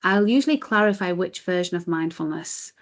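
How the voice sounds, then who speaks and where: A woman's voice: on the low side, expressive, brisk. A British woman giving earnest, explanatory answers as the guest in a conversational interview. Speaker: interview guest, chief executive of a mindfulness charity.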